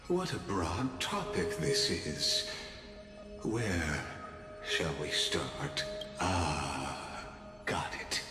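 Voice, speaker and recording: Deep theatrical herald guy, swings his pitch all over, slow, big echoey game scene with loud music. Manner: theatrical, declaiming, playful. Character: grandiose, teasing male herald of ritual.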